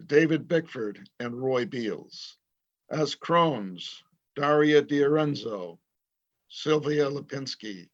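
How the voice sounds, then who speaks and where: Slow male announcer, slightly dull sound. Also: medium pitch, fairly bright tone. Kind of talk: scripted credits announcement.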